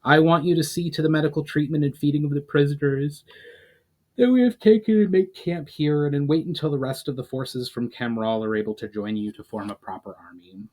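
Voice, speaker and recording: A man's voice: a little high, fairly quick, a little mellow, pitched above the performer's own voice, natural voice, poor audio. Principled, weary war leader, voiced by a man. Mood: commanding.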